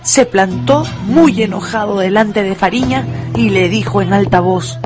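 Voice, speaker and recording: A woman storyteller with a mid-pitched voice narrates a radio drama. Her delivery is deliberate and expressive, and music plays clearly behind her.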